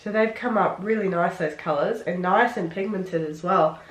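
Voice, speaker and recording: A woman with a head cold, sounding nasal and sniffly, chatting excitedly through a casual makeup tutorial. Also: lowish voice, normal speed. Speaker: beauty vlogger. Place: home room. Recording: roomy, echoey room.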